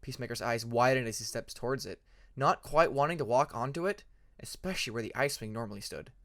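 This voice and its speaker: A man narrating a fantasy story like an audiobook, in an expressive, dramatic manner. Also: mid-range voice, quick pace. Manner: expressive, acts out dramatic passages. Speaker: fan fiction narrator.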